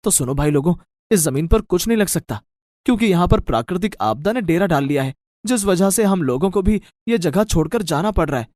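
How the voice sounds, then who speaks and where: Man as a lively, blunt village farmer. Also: high voice, brisk, no room sound, studio quality. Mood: sceptical. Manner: blunt, questioning. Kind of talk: animated moral story dialogue.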